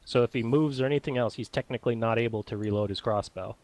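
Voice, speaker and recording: A man's voice: medium pitch, fast, a little mellow, dry recording. A man acting as game master, explaining rules and narrating the action in a casual, informal way. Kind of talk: running a tabletop game, casual.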